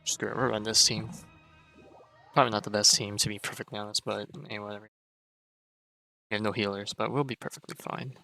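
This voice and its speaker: A man's voice: lowish voice, steady tone, fairly quick, creaky, long pauses, pushed and bright, no room sound, faint music behind, low-quality recording. A man giving casual, offhand commentary while playing a video game, thinking aloud as he goes. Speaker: streamer.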